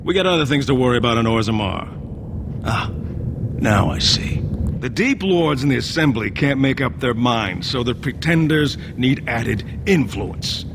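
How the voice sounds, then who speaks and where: An actor playing a world-weary, blunt and sardonic dwarf warrior commander in a video game. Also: male voice, animated, normal speed, natural voice. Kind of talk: video game dialogue acting. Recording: game audio with background ambient rumble.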